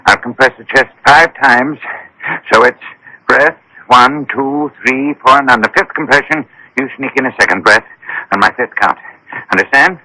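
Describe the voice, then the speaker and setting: A brusque, sharp-voiced doctor. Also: male voice, mid-range voice, dry recording, poor audio. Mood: focused, instructing. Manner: brisk, authoritative. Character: brusque, commanding male doctor in an emergency. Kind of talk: radio drama acting.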